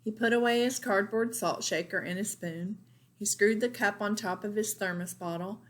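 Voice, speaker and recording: A woman's voice: medium pitch, moderate pace. A woman teacher reading a picture book aloud expressively for young children. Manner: expressive, pointing out pictures to listeners.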